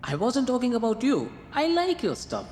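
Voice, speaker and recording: A man's voice: very high voice, huge pitch swings, moderate pace, a little mellow, slight background noise, full character voice. An actor playing a breezy male muse who talks in airy, teasing clichés in a radio drama. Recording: reverberant room with music behind.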